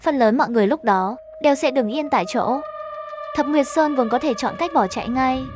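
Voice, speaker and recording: A woman narrates a scripted horror tale as a story recap. Her voice sits high, with faint music playing underneath. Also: fairly quick.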